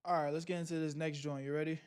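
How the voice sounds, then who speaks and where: Young man, streamer, high voice, loud slangy banter, casual chat. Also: level tone, very fast, smooth. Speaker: streamer reacting to videos.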